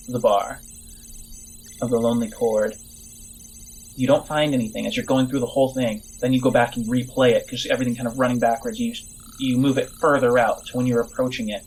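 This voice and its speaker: A man narrating as game master of a tabletop role-playing game, phrasing things haltingly and deliberately with pauses. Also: mid-range voice, a little mellow, a little hiss or hum, rough recording. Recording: music behind the voice. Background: music.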